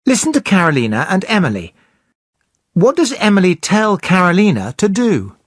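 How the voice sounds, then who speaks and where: A presenter explaining English grammar slowly and clearly for beginners. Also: mid-range voice, wildly swooping pitch, dry recording, clean studio sound. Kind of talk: scripted teaching narration.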